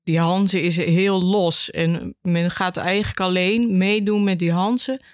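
A woman's voice: lowish voice, steady tone, takes it slowly, no echo, studio quality. A woman speaking as a history educator guest, explaining in a plain-spoken, emphatic way in a podcast conversation.

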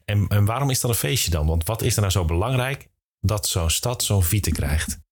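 Very deep-voiced male Dutch podcast host. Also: animated, moderate pace, husky. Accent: Netherlandic Dutch. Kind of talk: scripted intro and casual interview.

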